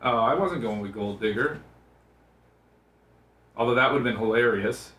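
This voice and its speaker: Bright-voiced teasing man, echoey radio banter. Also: mid-range voice, a little slow. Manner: teasing, needling. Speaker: radio show co-host. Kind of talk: casual joking radio banter. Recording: echoey room sound.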